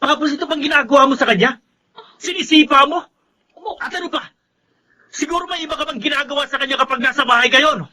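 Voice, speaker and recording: An actor playing a protective, resentful young student son with an aggrieved, confrontational manner in a Filipino radio drama. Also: male voice, very high-pitched, fast, pauses often, bright, forceful tone, exaggerated voice. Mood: indignant.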